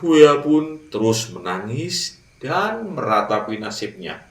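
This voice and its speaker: Animated male storyteller, unhurried. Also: medium pitch. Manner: dramatic pauses, addresses young listeners. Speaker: children's storyteller. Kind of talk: animated fable narration for children. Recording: roomy echo, distant mic.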